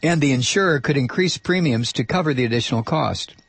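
A man's voice: mid-range voice, animated, fairly quick, slightly rough, no echo. A man hosting a political talk radio show, ranting angrily and sarcastically, and losing his voice.

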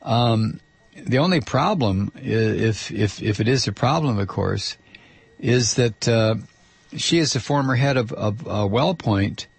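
Male talk radio host, gravelly and hoarse, dry studio sound, angry sarcastic ranter. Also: on the low side, lively intonation, a little slow, dry recording. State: losing his voice. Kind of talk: political talk radio monologue.

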